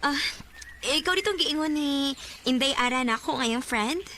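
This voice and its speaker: A young Cebuano woman in a radio drama, high-voiced and modest. She is soft-hearted and easily taken by surprise. Clear background noise runs under her voice. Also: moderate pace. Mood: puzzled. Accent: Filipino, Cebuano Bisaya. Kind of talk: Bisaya radio drama acting.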